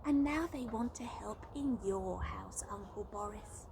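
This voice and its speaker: A woman's voice: medium pitch, a little slow, gravelly, background noise. A woman voicing a slightly tired older granny tiger in a children's story. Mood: weary.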